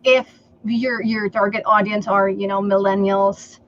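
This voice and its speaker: Slow, deliberate woman sales trainer. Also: medium pitch, fairly even intonation, a bit bright, highs cut off. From Philippines. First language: Tagalog. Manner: slow and deliberate, many fillers like okay and um. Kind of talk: livestream interview, advice.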